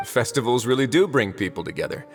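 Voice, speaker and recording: An actor playing a calm, dry-witted adult prison warden who talks with wry understatement. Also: male voice, medium pitch, quick pace. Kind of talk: video game voice acting. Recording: game audio, reverb and music.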